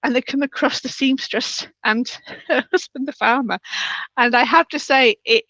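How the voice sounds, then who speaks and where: A woman's voice: high voice, moderate pace, a bit bright, dry recording, low-quality recording. A woman interviewer chatting enthusiastically in an effusive, giggly, rambling way.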